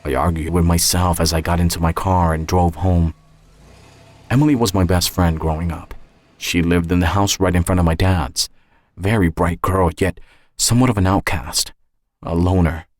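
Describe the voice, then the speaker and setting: A man with a low voice narrates a short story in the first person. He is measured and reflective, and the sound is very dry. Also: fairly even intonation, no echo. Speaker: narrator and author.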